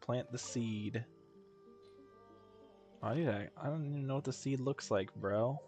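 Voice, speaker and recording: A male game streamer chatting casually as he plays. His voice is average in pitch, his talk slangy and jokey, with faint game music underneath. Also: unhurried, a little mellow. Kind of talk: casual gameplay commentary.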